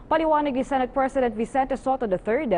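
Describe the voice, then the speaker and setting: A woman's voice: high voice, fast, a little mellow, a little hiss or hum. A woman with a Filipino accent reading scripted news narration as a presenter.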